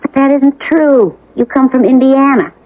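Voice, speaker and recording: A woman playing a worried, skeptical wife in a 1970s radio drama, husky and questioning. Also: high voice, moderate pace, natural voice. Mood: exasperated, concerned. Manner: questioning, exasperated yet concerned. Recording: muffled narrow-band old radio broadcast.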